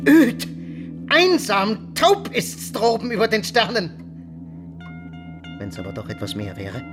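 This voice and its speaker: A guilt-ridden, villainous nobleman sliding into madness in a theatrical radio drama. His delivery is feverish and drawn out, with huge leaps in pitch from hushed, shaking dread to frenzied cries. Prominent music runs behind the voice. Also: wildly swooping pitch, slow, exaggerated voice. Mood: defiant, fearful. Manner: feverish, erratic, lurching from hushed trembling to frantic cries. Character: guilt-tormented nobleman villain, terrified, raving, descending into madness. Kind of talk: German radio drama acting, theatrical classical monologue.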